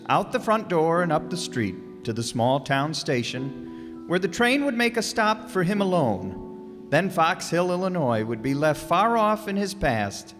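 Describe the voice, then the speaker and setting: A man narrates a radio play with dramatic, very lively intonation. Music plays clearly behind him. The voice carries a long hall reverb. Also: on the high side. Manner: dramatic storytelling. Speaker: narrator and announcer.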